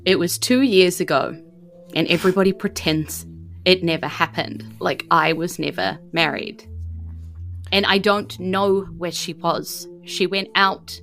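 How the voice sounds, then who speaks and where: A woman's voice: fairly low pitch, fairly even intonation, fairly bright tone, soft background music. Woman playing a bitter, defensive male designer. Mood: bitter, grieving. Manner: evasive, halting, defensive.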